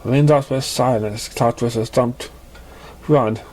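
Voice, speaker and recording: A man reads a story aloud as its narrator. His voice sits at a medium pitch, and he delivers the scripted prose in a dramatic storytelling manner. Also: fairly even intonation, slow, a little mellow. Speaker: fan fiction reader.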